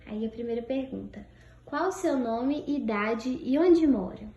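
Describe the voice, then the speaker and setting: A woman's voice: a little high, animated, a little slow, dark tone, highs cut off. A woman with a Brazilian accent working through prepared interview questions. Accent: Brazilian Portuguese. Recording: echoey room, faint background noise.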